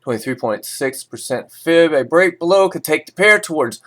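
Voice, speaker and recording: A man's voice: a little high, expressive, moderate pace, fairly bright tone. A man reading financial news as an informal host, breaking into sarcastic, opinionated and folksy asides.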